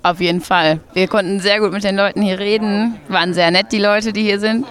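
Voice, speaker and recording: A young man in his twenties, an architecture student, answering interview questions outdoors in a relaxed, friendly way. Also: sits high, fairly quick. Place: outdoors in a town square.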